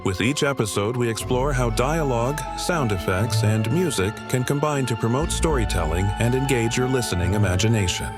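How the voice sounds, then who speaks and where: A man's voice: medium pitch, brisk, hardly any pauses, over loud music. A man reading a scripted announcement to open a radio program.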